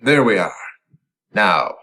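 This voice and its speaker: A man's voice: lowish voice, big pitch swings, slow, vocal fry, bright, forceful tone, a little hiss or hum, heavily acted. A man playing an upright, righteous adult hero with earnest, emphatic delivery in 1940s radio serial style. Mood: alarmed, urgent.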